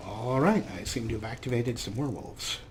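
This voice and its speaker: A man's voice: mid-range voice, a little fast, slight background noise. A man giving casual, self-deprecating gameplay commentary as a let's play host.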